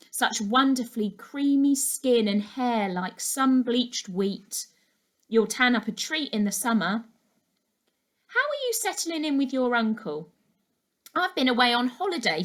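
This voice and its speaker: A woman's voice: fairly high pitch, a bit bright. A woman voicing a flamboyant, gossipy village busybody in her sixties for a children's story, chatty, effusive and dramatic.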